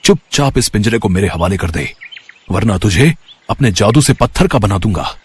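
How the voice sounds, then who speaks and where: A man's voice: lowish voice, expressive, normal speed, breathy edge, voice pushed down. A man voicing a boastful, menacing evil sorcerer who barks threats and commands in a children's story.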